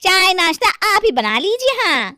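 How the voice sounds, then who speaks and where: A woman voicing a fussy, coy young village daughter-in-law in an animated story, with drawn-out, whiny delivery. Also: extremely high pitch, no room sound, clean studio sound, heavily acted. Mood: petulant, scornful. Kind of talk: Hindi animated moral story acting.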